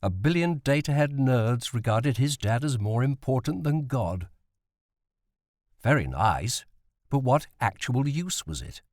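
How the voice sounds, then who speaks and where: A man's voice: medium pitch, big pitch swings, a little slow, a little mellow, dry recording, studio quality. A man reading a novel as an audiobook narrator.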